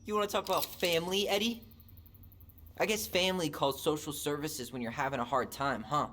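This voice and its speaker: A man's voice: on the high side, steady tone, a little fast, fairly bright tone, lightly acted. An actor playing a wounded, angry young American man in a naturalistic video game drama. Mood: furious. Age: young adult. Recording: noisy game capture.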